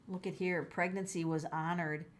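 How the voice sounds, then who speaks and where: A woman's voice: lowish voice, fairly even intonation, moderate pace, slight background noise. A middle-aged woman doing a casual tarot reading, musing aloud, exclaiming and repeating her phrases. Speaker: tarot reader.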